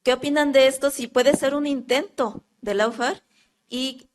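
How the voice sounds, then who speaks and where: A Mexican woman with a fairly high voice speaks up from the audience at a public talk. She talks earnestly but hesitantly, stopping often for fillers as she builds her point. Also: steady tone, unhurried, a little hiss or hum. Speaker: audience member asking a question. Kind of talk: question from the floor at a public talk.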